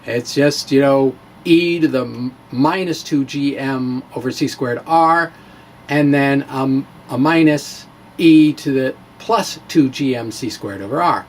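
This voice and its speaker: A man giving a dramatic reading of a science blog, halting and theatrical, with informal wry asides. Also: mid-range voice. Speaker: physics blogger.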